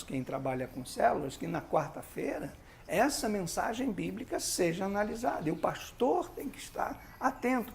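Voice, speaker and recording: The gravel-edged voice of a Brazilian man you'd hear leading a church training session, explaining each point emphatically to volunteer leaders. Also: high-pitched, a little mellow, a little hiss or hum. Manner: didactic, emphatic, conversational with rhetorical questions. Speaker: pastor teaching a church leadership course. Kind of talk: instructional video teaching with sermon-like exhortation.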